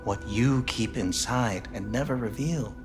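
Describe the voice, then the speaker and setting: A man playing an intimate, enigmatic pleasure companion in a video game, speaking in a soft, probing way. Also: medium pitch, unhurried, natural voice. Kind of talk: scripted video game acting. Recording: faint music behind.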